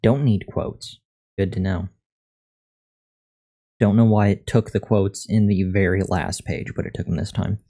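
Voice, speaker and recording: A man chatting casually on a live coding stream, thinking aloud in a monotone voice into a USB condenser microphone. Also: deep voice, measured pace, pauses often, husky. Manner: thinking aloud, reading out and answering chat. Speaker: live streamer learning to code. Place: untreated room at a computer. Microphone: USB condenser microphone at a desk.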